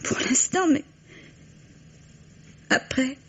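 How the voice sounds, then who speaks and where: A woman's voice: extremely slow, a bit bright. An actress playing a hesitant, emotional mistress in her thirties, clinging and pleading, in a French vintage radio melodrama. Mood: wounded. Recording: dull vintage radio recording.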